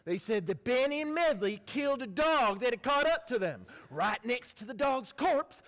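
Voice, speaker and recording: Man playing a high-voiced, menacing slave owner. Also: sing-song, moderate pace, a bit bright, exaggerated voice. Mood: accusing. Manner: threatening, sardonic. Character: menacing, hot-tempered slave owner, 19th century Southern man. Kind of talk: theatrical stage acting.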